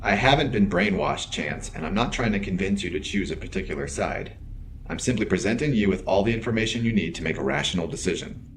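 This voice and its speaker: Low male android, earnest and literal, measured formal diction, sci-fi audio drama, faint noise behind. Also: lively intonation, creaky. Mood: serious, explaining. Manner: precise, formal, measured wording. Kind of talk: science fiction audio drama acting.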